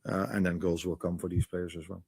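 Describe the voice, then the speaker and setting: A man giving a measured press conference answer, pausing with fillers. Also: low voice, level tone, creaky, darkish tone, slight background noise. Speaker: football manager at press conference.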